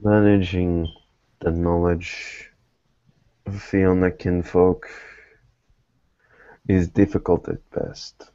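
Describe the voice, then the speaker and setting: A man playing a wary, probing private investigator in a tabletop roleplay, terse and deliberate in manner. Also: sits low, flat delivery, mellow, smooth, no room sound, muffled recording. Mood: measured, guarded.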